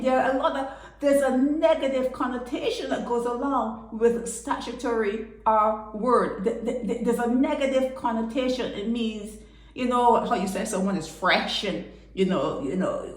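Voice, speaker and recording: A woman giving impassioned solo commentary, firing indignant rhetorical questions that leap up sharply in pitch. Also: moderate pace, reverberant, low-quality recording.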